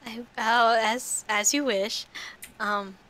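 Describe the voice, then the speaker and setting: Bright-voiced woman running a tabletop game. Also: a little high, fairly even intonation, slow. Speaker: game master. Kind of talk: narrating and improvising a tabletop roleplaying game.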